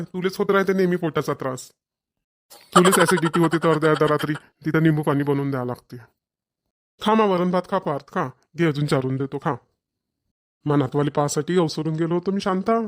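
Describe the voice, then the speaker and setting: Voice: medium pitch, brisk, lightly acted. A performer voicing a fond village grandfather in a family cartoon, talkative and homely, with a Varhadi Marathi accent. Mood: cheerful, homely. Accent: Varhadi Marathi, Vidarbha.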